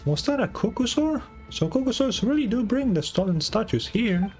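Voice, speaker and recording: A man voicing a small, chatty, excitable fairy companion, reading out video game dialogue in a chirpy, questioning way. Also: very high-pitched, moderate pace, faint music behind, voice pushed up, full character voice. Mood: excited, wondering.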